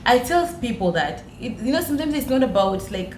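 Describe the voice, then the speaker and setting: A woman's voice: on the high side, sing-song, brisk. A woman from Uganda with a Ugandan accent, telling personal stories in conversation as a podcast guest and repeating phrases for emphasis. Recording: echoey room with clear background noise.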